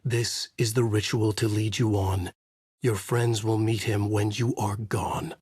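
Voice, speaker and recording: An actor playing a brooding, haunted male writer, narrating his dark story in a measured, dramatic, intense way with a husky voice. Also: fairly low pitch, flat delivery, no echo, clean studio sound. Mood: brooding, grave. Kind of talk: scripted first-person noir narration.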